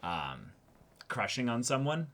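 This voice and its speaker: A man's voice: medium pitch, big pitch swings, slow pace, fairly bright tone. A man co-hosting a reaction show in a casual, emphatic way, repeating words to stress his points. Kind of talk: casual chat about an anime episode.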